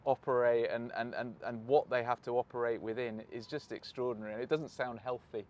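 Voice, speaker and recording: A man's voice: medium pitch, fairly even intonation, fairly quick, a little mellow. A British man hosting, chatting casually and self-deprecatingly outdoors while waiting for a bus. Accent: British English. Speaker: host. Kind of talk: casual interview and vlog wrap-up.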